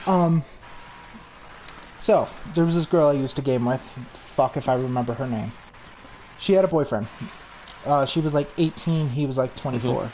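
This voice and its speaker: A man's voice: medium pitch, moderate pace, long pauses, mellow, a little hiss or hum, low-quality recording. A man in his early twenties from New York, telling crude, boastful stories in a casual voice chat with lots of restarts. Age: 20s. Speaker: gamer in voice chat.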